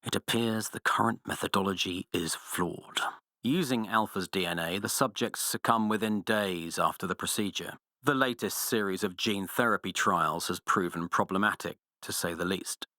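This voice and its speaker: An actor playing a cold, pompous, sadistic scientist villain in a video game, speaking with condescending, precise diction. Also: male voice, low voice, moderate pace, bright, forceful tone, no room sound, studio quality. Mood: clinical detachment. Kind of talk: video game villain voice acting, monologues and research logs.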